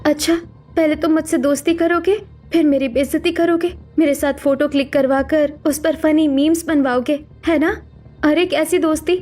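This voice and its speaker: A woman's voice: sits high, fairly even intonation, normal speed, a little mellow, lightly acted. A woman voicing a hurt, defensive young woman in a cartoon story, emotional and distrustful. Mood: defensive, angry. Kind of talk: Hindi cartoon story voice acting. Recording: music behind the voice.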